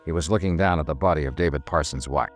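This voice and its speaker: An actor playing a cool, probing insurance investigator who narrates in a clipped, dry way in 1950s radio detective style. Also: male voice, extremely low pitch, quick pace, faint music behind. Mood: dry, matter-of-fact. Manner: clipped, dry, matter-of-fact. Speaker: narrator and lead character.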